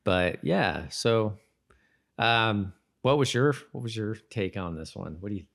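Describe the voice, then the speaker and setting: Man, low-pitched voice, podcast host, casual TV discussion, halting phrasing, restarts sentences. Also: expressive, vocal fry, fairly bright tone. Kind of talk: casual co-hosted chat about a TV show.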